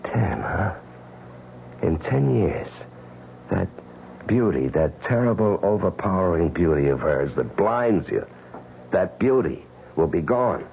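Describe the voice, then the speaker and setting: A man's voice: low voice, sing-song, takes it slowly, gravelly, full character voice. Bitter hard-boiled man, widely swinging delivery. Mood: grim, brooding. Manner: hard-boiled, sardonic, dramatic. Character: bitter, betrayed tough husband, accusing and intense. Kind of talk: old-time radio crime drama acting. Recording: dull, hissy old radio recording.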